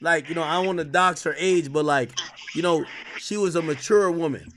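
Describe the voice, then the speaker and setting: Man, thirties, high voice, animated, keeps repeating, faint noise behind. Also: fairly quick. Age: 30s. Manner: animated, repeats himself, talks over guest. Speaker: streamer host. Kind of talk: casual livestream chat.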